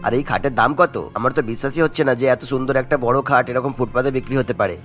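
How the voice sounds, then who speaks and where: Cartoon father of the bride, played by a man: middle-aged, earnest, quick-spoken, mid-pitched, faint music behind. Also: a bit bright, natural voice. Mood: curious, doubtful. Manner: explanatory, earnest. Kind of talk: Bengali cartoon acting.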